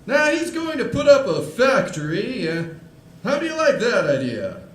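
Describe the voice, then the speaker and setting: Man acting brash high-pitched businessman, swooping delivery. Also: huge pitch swings, moderate pace, full character voice. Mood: boastful, pushy. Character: brash, hearty young businessman pitching big deals. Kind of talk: live 1940s radio play acting. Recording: roomy live stage recording.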